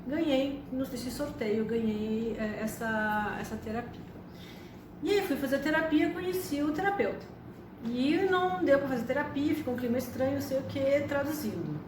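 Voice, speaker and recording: A woman's voice: high voice, moderate pace. Chatty woman in her fifties, echoey room. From Argentina. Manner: chatty, frequent tag questions. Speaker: astrologer and psychotherapist. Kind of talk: personal storytelling to camera. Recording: echoey room from a distance with background noise.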